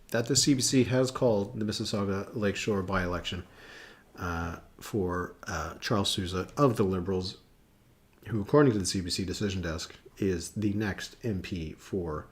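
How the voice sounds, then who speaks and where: A man hosting a live election analysis stream, chatting casually with many fillers as he thinks aloud and reacts to viewers. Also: fairly low pitch. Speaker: political analyst hosting a livestream.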